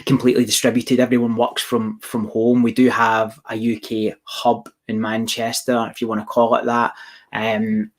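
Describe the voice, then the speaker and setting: Man with a softened glaswegian accent, casual, rambling, lots of restarts, mid pitch. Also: completely flat delivery, moderate pace. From Scotland. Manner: rambling, many restarts and fillers. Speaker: business founder answering audience questions. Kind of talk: casual Q&A chat.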